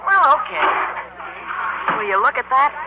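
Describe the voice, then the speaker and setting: A young man acting an eager, awkward high school boy in a 1940s radio comedy-drama, stammering and flustered. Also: big pitch swings, moderate pace. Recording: lo-fi 1940s radio, faint hiss and hum.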